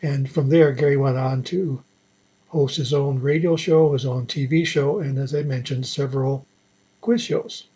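Male presenter for nostalgia radio show, chatty and conversational, soft mellow tone, a bit lo-fi. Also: mid-range voice, fairly even intonation, normal speed, breathy edge. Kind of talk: conversational introduction to an old-time radio show. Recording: slightly dull lo-fi audio.

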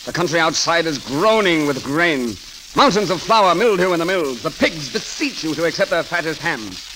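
An actor playing a bold, eloquent rogue lord in a declamatory 1930s radio drama style. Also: male voice, a little high, animated, moderate pace, noisy background, close to an everyday voice. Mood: confident, persuasive. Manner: declamatory, theatrical. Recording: old 1930s radio broadcast recording.

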